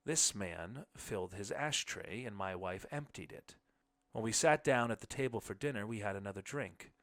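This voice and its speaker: A man narrating a literary short story in the first person, with measured phrasing. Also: on the low side, expressive, a bit bright. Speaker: audiobook narrator.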